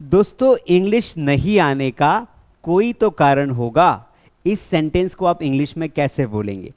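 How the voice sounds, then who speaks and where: A young man teaching spoken English, asking the class questions and slowly repeating example sentences word by word. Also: on the high side. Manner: energetic classroom delivery, slow dictation of example sentences; asks rhetorical questions and repeats answers. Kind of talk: spoken English lesson in Hindi.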